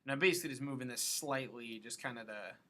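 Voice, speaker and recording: A man giving a casual software tutorial, thinking aloud and loosely muttering to himself between steps as he works. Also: medium pitch, quick pace. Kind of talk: casual screen-recorded tutorial, thinking aloud while working.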